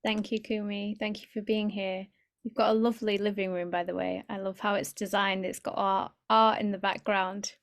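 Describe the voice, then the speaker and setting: A woman's voice: mid-range voice, flat delivery, normal speed. Effusive woman hosting a video call. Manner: upbeat, effusive and encouraging. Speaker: online group host. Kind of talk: casual video-call introductions.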